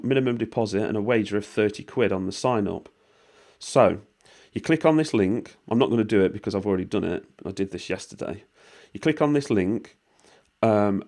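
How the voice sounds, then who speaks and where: A British man talks casually through a screen recording, his voice hoarse and worn from a cold. His pitch sits on the low side, and he rambles with plenty of ums and the odd swear word. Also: darkish tone. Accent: British English. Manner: rambling and informal, with swearing and many fillers. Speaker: vlogger explaining money-making offers.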